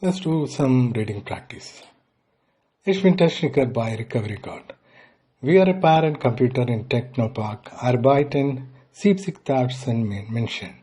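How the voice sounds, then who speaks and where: A male language teacher with a mellow, slightly rough voice takes a beginner lesson at a slow pace. He sounds things out deliberately, piece by piece, in an instructional style. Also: mid-range voice.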